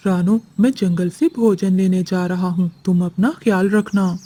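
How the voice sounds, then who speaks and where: A woman voicing a caring, gentle young husband sparrow in a children's cartoon. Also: on the low side, fairly even intonation, moderate pace, lightly acted. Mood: reassuring.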